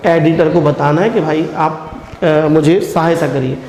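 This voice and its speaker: A man's voice: on the high side, fairly even intonation, unhurried, a little mellow. A man teaching English in a didactic way, asking questions and answering them himself. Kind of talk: classroom lesson mixing Hindi explanation with slowly dictated English. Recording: echoey reverberant room with faint noise.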